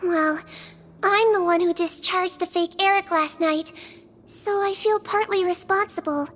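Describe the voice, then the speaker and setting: A tiny sweet-voiced nurse. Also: female voice, fairly even intonation, a little slow. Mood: earnest. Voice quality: very high, sweet and soft. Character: gentle small nonhuman head nurse, caring. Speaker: head nurse. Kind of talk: video game voice acting. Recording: game audio with music underneath.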